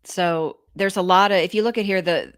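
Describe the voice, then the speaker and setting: A woman giving an informal explanatory presentation, reading out reports and commenting in a halting, think-aloud way. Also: fairly low pitch, fairly bright tone, no echo, clean studio sound. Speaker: presenter of a scam awareness talk for elderly people.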